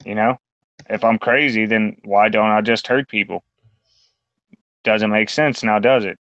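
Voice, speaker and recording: A man with a low, smooth voice rambles through a casual podcast chat, crude and heavy on fillers. He sounds close and dry. The recording is dull and lo-fi. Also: fairly even intonation, measured pace, fairly bright tone, no echo. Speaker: podcast co-host.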